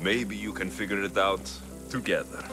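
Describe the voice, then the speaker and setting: A gentle male mercenary hero, played by a man in a video game. He speaks earnestly and measuredly in a lowish voice over music. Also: fairly even intonation, moderate pace, lightly acted. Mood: somber, gentle. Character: gentle, steady mercenary hero consoling a child. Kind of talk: dramatic video game acting. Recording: music behind the voice.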